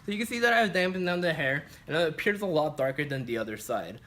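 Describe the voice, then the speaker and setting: A man gives instruction in a clear step-by-step demonstration style. His voice sits a little higher than average and has a bright, forceful edge. There is faint background noise in the recording. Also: animated, fast. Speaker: barbering instructor.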